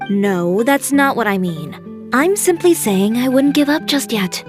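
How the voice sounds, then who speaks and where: Brisk, higher-pitched, no-nonsense designer. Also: female voice, animated, over music. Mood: firm. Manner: direct, composed, no-nonsense. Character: brisk, blunt, self-assured young female fashion designer. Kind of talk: video game voice acting.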